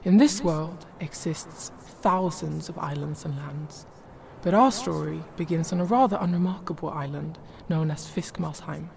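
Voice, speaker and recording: A woman reading scripted fantasy narration in a measured storybook manner. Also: low-pitched, gravelly. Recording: loud music behind.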